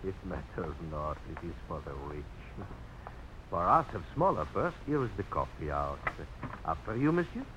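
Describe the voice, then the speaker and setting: A man playing an impoverished, excitable French painter in a radio drama, with a French accent and theatrical, lamenting delivery. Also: low-pitched, normal speed. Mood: genial, wry. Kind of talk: 1950s radio drama acting. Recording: noisy old radio broadcast recording.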